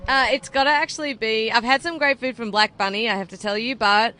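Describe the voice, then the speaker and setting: A woman's voice: fairly high pitch, a little fast, pushed and bright. Upbeat Australian woman explaining barbecue. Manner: enthusiastic, explanatory. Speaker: barbecue cook. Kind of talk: outdoor interview at a food event. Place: outdoor food event.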